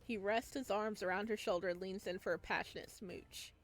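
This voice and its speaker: A woman's voice: medium pitch, steady tone, fairly quick, soft background music. A woman reading a visual novel aloud as a let's play host, with casual, amused asides.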